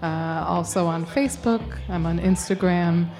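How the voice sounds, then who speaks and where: A woman's voice: fairly low pitch, a little slow, warm tone, faint music behind. A young woman, an actor guest, talking casually in a radio interview and laughing often. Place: storefront music bar.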